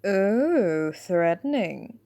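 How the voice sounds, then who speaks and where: A woman's voice: mid-range voice, big pitch swings, very slow, loud music behind the voice, full character voice. A woman voicing a sly, unruffled female bat agent in a cartoon comic dub, teasing and coolly sardonic. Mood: wry, smug.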